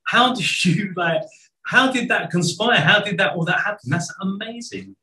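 A man's voice: high-pitched, bright, forceful tone. Enthusiastic middle-aged male host in an echoey room. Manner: enthusiastic, quick, exclaiming. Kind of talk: talk show interview. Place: indoors, decorated home studio. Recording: roomy echo, mic at a distance.

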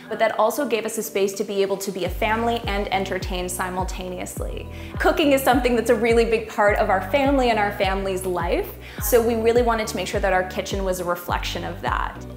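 A woman's voice: mid-range voice, roomy sound. A woman, giving a house tour as the homeowner in an interview style to camera. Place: indoors, in a home kitchen. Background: background music.

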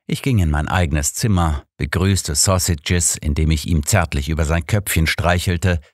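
A man's voice: low voice, darkish tone, no echo. A man narrating a scripted first-person story as an audiobook reader, close on a studio microphone.

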